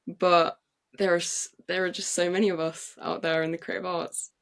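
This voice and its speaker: A young English woman, a playwright and actress, telling her story in an excited, chatty way with many fillers and restarts. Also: fairly low pitch, moderate pace. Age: young adult. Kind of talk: casual interview storytelling. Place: podcast recording.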